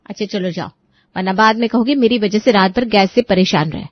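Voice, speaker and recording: A woman's voice: medium pitch, fairly quick, no room sound, lo-fi sound, close to an everyday voice. A woman voicing a bossy, suspicious young wife who scolds and insists in a comic audio story. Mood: nagging concern.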